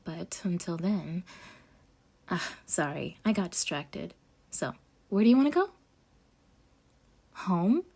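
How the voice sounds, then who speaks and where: A woman playing a sweetly possessive, obsessed girlfriend in an intimate roleplay audio, coaxing and dramatic with breathy asides. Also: fairly low pitch, big pitch swings, slow, pauses often, a little mellow, exaggerated voice. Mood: insistent, doting. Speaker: ASMR voice actor.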